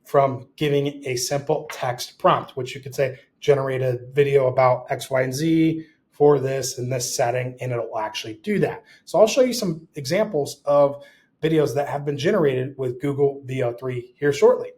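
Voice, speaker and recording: A man's voice: mid-range voice, moderate pace, a little mellow. A man presenting a casual, explanatory tutorial as an instructor, posing short rhetorical questions as he goes.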